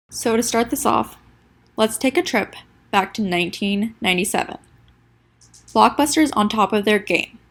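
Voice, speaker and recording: A woman's voice: mid-range voice, gravelly. A sick young woman with a nasal, stuffy voice, reading her class presentation from a script and stumbling now and then. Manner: reads a prepared script with small stumbles and restarts. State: sick, congested. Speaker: student presenter.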